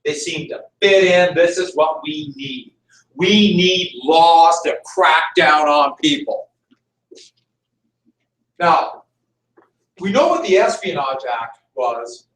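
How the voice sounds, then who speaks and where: A man's voice: a little high, lively intonation, slow, pauses often, a bit bright. A male history teacher giving a conversational classroom lecture, repeating key points for emphasis. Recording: echoey room, mic far off.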